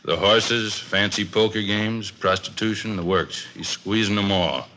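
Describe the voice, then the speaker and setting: A man's voice: on the low side, steady tone, a little slow, bright, forceful tone. An actor playing a commanding, matter-of-fact male police captain in an old radio crime drama. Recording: old radio recording with faint noise.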